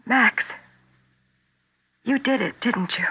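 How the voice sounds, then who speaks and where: An actress playing an anxious, placating wife in a 1970s radio drama, hesitant and faltering. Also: female voice, fairly high pitch, slow pace, breathy edge. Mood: nervous, fearful. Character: anxious, placating wife, growing frightened of her husband. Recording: muffled, narrow-band 1970s radio recording.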